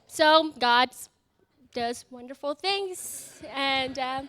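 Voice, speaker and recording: Young vietnamese woman, vietnamese accent, high bright voice, earnest and halting, lots of ums, telling her story to a crowd. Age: young adult. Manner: earnest, halting, many fillers and restarts. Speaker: student giving testimony. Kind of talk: personal testimony speech. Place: event in front of an audience.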